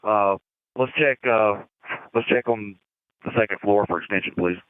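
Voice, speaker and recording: A man's voice: lowish voice, moderate pace, smooth. A man working as a fire incident commander, giving clipped, procedural instructions over a two-way radio. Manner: procedural, reads back messages. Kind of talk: clipped radio dispatch communication. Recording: phone-like emergency radio transmission.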